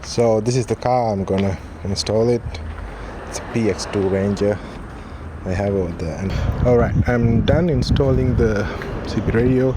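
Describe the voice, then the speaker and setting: A man giving a casual, low-key and somewhat hesitant unboxing and installation walkthrough. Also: normal speed. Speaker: vlogger demonstrating a product. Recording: clearly noisy, vehicle ambience.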